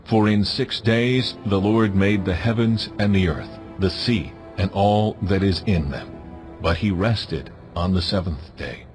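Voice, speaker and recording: A man playing a stern, majestic deity who gives solemn, authoritative commands in a dramatized scripture production. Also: sits low. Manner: solemn, authoritative, deliberate phrasing. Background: music.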